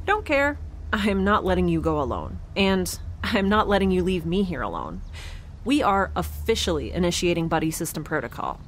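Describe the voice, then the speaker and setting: Stubborn young woman in space, sci-fi audio drama, lowish voice, animated, wry, casual. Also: normal speed, a little hiss or hum. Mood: stubborn, urgent.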